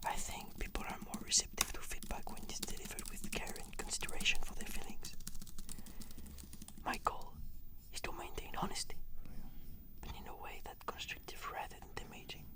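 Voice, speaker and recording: A breathy whisper, almost entirely unvoiced, in an ASMR style. The person plays a client giving measured, fluent scripted answers. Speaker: client answering questionnaire in a roleplay.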